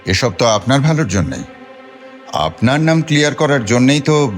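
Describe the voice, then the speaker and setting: A man's voice: medium pitch, big pitch swings, normal speed, pitched above the performer's own voice, close to an everyday voice. A nervous, placating underling acted by a man in an audio drama. He pleads deferentially with swooping intonation over background music. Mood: anxious placating. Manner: pleading, appeasing. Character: flustered, placating, deferential male subordinate.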